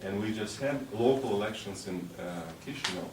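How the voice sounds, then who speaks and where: A man speaking to a youth conference, encouraging and halting as he gives a motivational talk and questions the audience. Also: fairly low pitch, recorded from a distance. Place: large echoing hall at a conference ceremony. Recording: echoey hall from afar, background noise.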